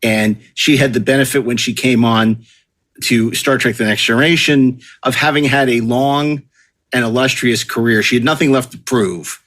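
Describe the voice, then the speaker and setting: A man's voice: mid-range voice, fairly even intonation, moderate pace, a bit bright. A man hosting a podcast in a conversational style, effusive and wordy as he talks. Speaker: podcast host.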